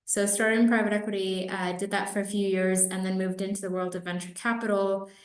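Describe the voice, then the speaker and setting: A woman's voice: mid-range voice, level tone, a little fast. A woman from Kenya giving conversational answers as an investor being interviewed on a webinar call. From Nairobi, Kenya. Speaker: venture capital investor, interview guest. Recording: roomy echo on webinar call.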